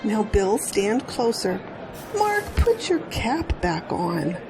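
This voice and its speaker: A woman's voice: mid-range voice, big pitch swings, slow, over music. A woman playing a doting, fussy middle-aged mother in an audio drama.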